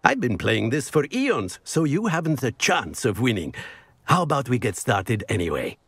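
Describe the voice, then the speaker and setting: A man's voice: medium pitch, very animated, moderate pace, a bit bright, husky, no echo, exaggerated voice. A man playing a jovial older wizard professor in a video game, with a grandiose, genteel and playful manner. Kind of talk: video game character acting.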